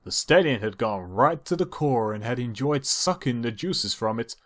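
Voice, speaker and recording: A man's voice: medium pitch, animated, moderate pace, a bit bright. A man reading a story aloud as narrator, in a dramatised storybook style.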